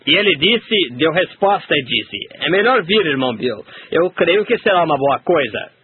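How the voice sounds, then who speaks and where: A man's voice: a little high, sing-song, normal speed. A man steadily reading a translated sermon aloud. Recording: tinny phone-like sound, faint hiss.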